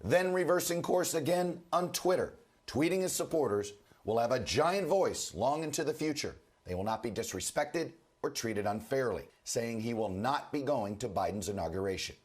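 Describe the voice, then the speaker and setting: A man anchoring a news broadcast and interviewing a guest, speaking with a rough, hoarse voice while under the weather. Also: mid-range voice, lively intonation. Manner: measured, formal newsreader delivery.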